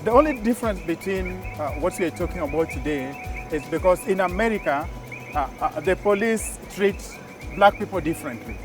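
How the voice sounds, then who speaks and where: Man co-host, higher voice, distant. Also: expressive, a little fast. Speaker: show co-host. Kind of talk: studio discussion. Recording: voice distant from the mic. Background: music.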